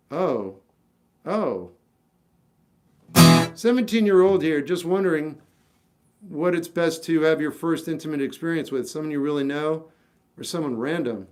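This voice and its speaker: A man's voice: fairly high pitch, a little mellow. A man in his fifties chatting casually on a livestream, answering viewers with rambling, self-deprecating advice and lots of restarts. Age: 50s. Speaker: livestream host.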